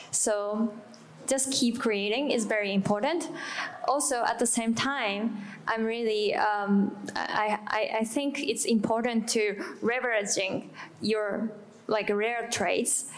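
Japanese-accented woman, halting panel talk. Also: mid-range voice, poor audio. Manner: halting, searching for words. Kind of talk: live panel discussion.

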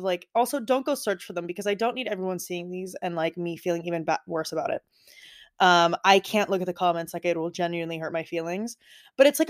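A young woman hosting a podcast, chatting casually and rambling with lots of "like" fillers. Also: mid-range voice. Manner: rambling, heavy use of "like". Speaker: podcast host. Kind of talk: casual personal chat.

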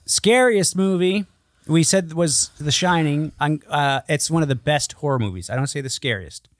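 A man's voice: a little high, normal speed, dry recording. A man co-hosting a podcast in casual chat, swearing freely and joking crudely. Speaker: podcast co-host.

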